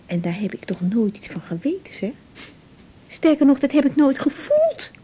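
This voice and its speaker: A woman's voice: high voice, huge pitch swings, normal speed, mellow, a little hiss or hum. A Dutch actress playing an anxious, affectionate young woman doctor in a naturalistic radio drama, hesitant and searching for words. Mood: troubled, confiding. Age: young adult.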